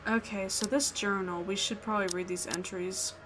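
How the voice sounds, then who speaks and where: A woman's voice: mid-range voice, fairly even intonation, moderate pace, background noise. A woman hosting a gaming video, reading out a visual novel's narration with casual asides.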